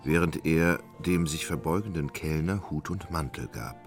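A man's voice: rumbling low, moderate pace, music in the background. A man narrating a literary radio drama as a scripted storyteller.

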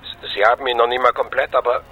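A man's voice: mid-range voice, flat delivery, fast, bright, forceful tone, lo-fi sound, slight background noise, natural voice. An actor playing a sober, cautious ex-policeman and loyal friend in a radio drama, speaking in a dry, matter-of-fact way. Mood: grave. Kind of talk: naturalistic German radio drama dialogue.

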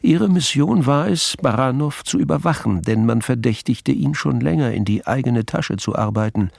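Male narrator, gravelly, measured scripted crime narration, close dry recording. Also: medium pitch, expressive, a little mellow, no echo.

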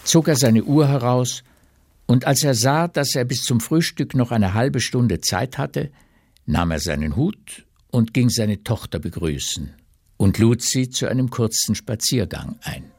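A man's voice: medium pitch, expressive, unhurried, darkish tone, no room sound, clean studio sound. A man reading scripted narration for a radio drama.

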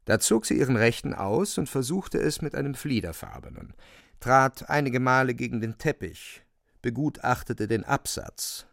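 A man's voice: medium pitch, very animated, normal speed, gravelly, fairly bright tone, dry recording. A man narrating a radio drama in a scripted, theatrical storytelling style.

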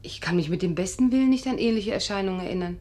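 An actress playing a sleepless, troubled and insistent patient in a 1950s German radio drama, speaking precisely and emphatically. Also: female voice, mid-range voice, very fast, dark tone, lightly acted. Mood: emphatic.

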